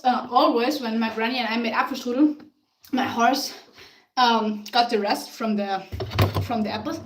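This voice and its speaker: A woman's voice: on the high side, noisy background. A woman from Tyrol with an Austrian German accent, chatting casually to live-stream viewers while she bakes in her home kitchen. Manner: chatty, answers viewer comments, laughs often. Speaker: live-stream baking host. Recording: echoey kitchen, mic far off. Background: clinking dishes and cutlery.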